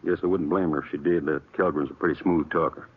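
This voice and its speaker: An actor playing a quiet, steady small-town marshal, plain-spoken and folksy, with an American accent, in a radio western. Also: male voice, a bass voice, quick pace, husky. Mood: regretful. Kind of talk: 1950s radio western acting. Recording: muffled, narrow old-time radio recording.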